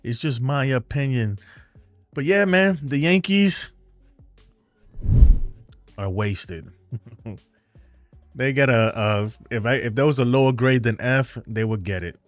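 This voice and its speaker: A man's voice: medium pitch, lively intonation, takes it slowly, long pauses, dry recording, faint music behind. A man with a New York accent hosting a fan live stream, chatting loosely and rambling as he answers viewer comments. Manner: rambling, talkative, loose and frank.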